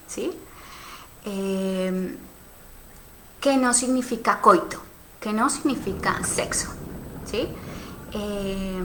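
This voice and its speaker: A woman's voice: mid-range voice, fairly bright tone, a little hiss or hum, poor audio. A woman in her thirties from Cali with a Colombian accent, hosting a casual live stream and answering viewer comments in a reflective, thinking-aloud way with many fillers and tag questions. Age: 30s. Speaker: live stream host on sexuality.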